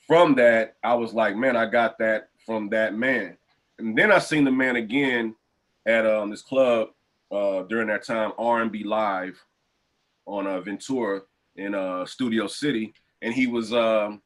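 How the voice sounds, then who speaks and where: A man hosting a casual podcast interview over a video call, talking in relaxed slang. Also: on the low side, a little slow. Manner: laid-back, relaxed slang. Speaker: podcast host.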